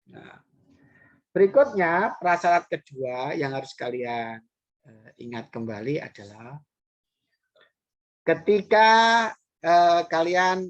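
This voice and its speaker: A man's voice: on the high side, a bit bright, dry recording. A man teaching a recorded math lesson with an East Javanese Indonesian accent. He explains step by step, addresses his students directly, hesitates often, and repeats key points.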